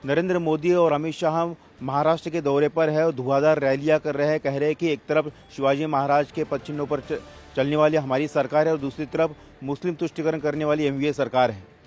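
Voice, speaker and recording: A man's voice: a little high, level tone, brisk, darkish tone, faint music behind. A man working as a television news reporter, putting long, insistent questions to a guest in a political interview.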